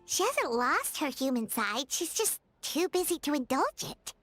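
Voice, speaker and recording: A woman voicing a small, childlike, excitable fairy companion in a video game, with a squeaky, cutesy delivery. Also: a little slow, no room sound, full character voice. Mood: questioning, earnest. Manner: squeaky, cutesy, refers to herself by name. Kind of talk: animated video game dialogue. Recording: game music behind.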